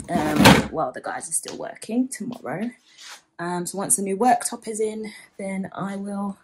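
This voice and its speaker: A woman's voice: mid-range voice, background noise. A woman, chatting casually in a home vlog, filmed on a handheld phone in her kitchen. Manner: chatty, many ums and likes. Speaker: home vlogger. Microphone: phone held at arm's length.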